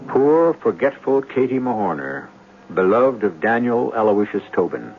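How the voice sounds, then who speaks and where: Deep-voiced male radio drama host. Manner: measured, theatrical, suspenseful. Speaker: host and narrator. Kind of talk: dramatic scripted radio narration, 1970s. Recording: tinny, hissy 1970s radio broadcast recording.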